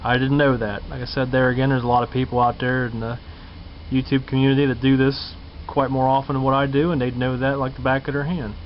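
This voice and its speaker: A man's voice: mid-range voice, flat delivery, quick pace. A man talking casually and unscripted to viewers in a plain-spoken, folksy way, as a hobbyist showing his repair work. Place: indoors at a home workbench. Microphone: handheld camera's built-in mic. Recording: muffled lo-fi camcorder sound, clear hum.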